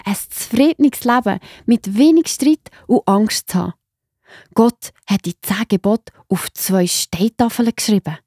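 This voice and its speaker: A woman with a Swiss accent narrating Bible stories for children in an expressive, enthusiastic, simple way. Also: fairly high pitch, no echo, clean studio sound. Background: music behind the voice.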